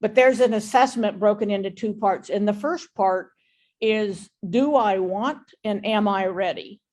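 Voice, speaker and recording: A woman with a mid-pitched voice talks frankly as a guest business expert. She states her opinions openly in a conversational style, speaking in an online meeting. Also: measured pace, a little mellow.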